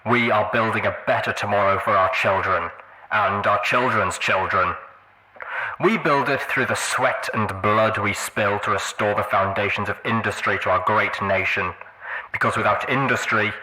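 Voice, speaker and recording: A man voicing a grandiose pony leader giving an emphatic, measured speech about progress in an audiobook. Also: lowish voice, pushed and bright, rough recording. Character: grandiose male pony demagogue preaching industrial progress.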